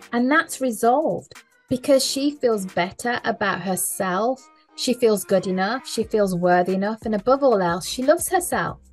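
A woman, speaking as a mindset coach in a conversational podcast, earnest and emphatic, often repeating key phrases. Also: mid-range voice.

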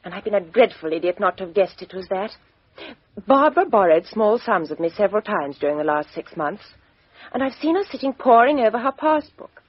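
A woman playing a poised, frank young woman in a 1950s radio drama, crisp and emphatic, with a husky voice on a dull old recording. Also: medium pitch, sing-song, fairly quick, no echo. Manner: crisp, emphatic well-spoken delivery.

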